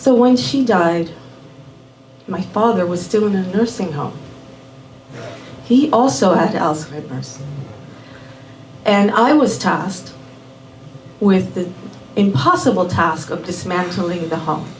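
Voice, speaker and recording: A woman raised in Miami telling a personal story live at a small venue, unhurried and measured, dropping in Spanish words. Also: lowish voice, a little mellow, slight background noise. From born in Puerto Rico, raised in Miami. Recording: roomy venue audio from a distant mic.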